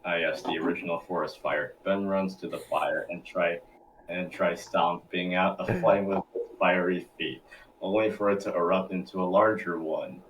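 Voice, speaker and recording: A man's voice: sits low, fairly even intonation, normal speed, a bit bright, far from the mic, slight background noise, rough recording. A man reading scripted narration for a table read, now and then stumbling over his words.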